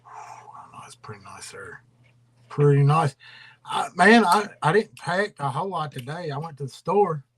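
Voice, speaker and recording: A man's voice: fairly high pitch, lively intonation, unhurried, fairly bright tone. A man hosting a casual livestream, rambling and drawling, his voice trailing off into mumbles. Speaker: livestream host.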